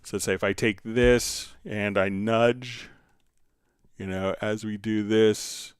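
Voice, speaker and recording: A man hosting a livestream tutorial, answering viewer questions in a casual, halting, rambling way. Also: fairly low pitch, fairly even intonation, clear, resonant tone, no echo, clean studio sound. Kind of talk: unscripted software tutorial, casual explaining.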